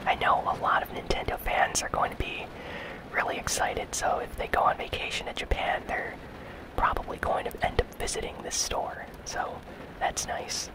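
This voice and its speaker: A host whispering gaming news ASMR-style in a soft, breathy, unvoiced whisper, with a gentle, casual, unhurried delivery. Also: low-quality recording. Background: rain sounds.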